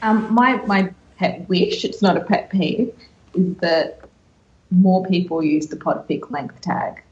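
A woman's voice: lowish voice, slow pace, darkish tone, slight background noise. A woman co-hosting a podcast, giving advice in a casual chatty way on slightly dull audio. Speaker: podcast co-host.

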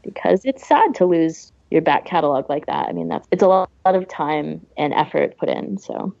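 A woman's voice: sits low, animated, moderate pace, vocal fry, darkish tone, dry recording. A woman co-hosting a podcast in casual chat, on a dry, slightly dull recording. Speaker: podcast co-host.

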